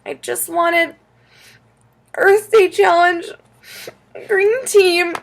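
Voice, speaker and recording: Earnest, easily upset little boy for a kids' audiobook, played by a woman, high and swooping. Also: expressive, moderate pace, fairly bright tone. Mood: tearful, flustered. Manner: stammering, pleading when upset. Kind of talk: children's audiobook character.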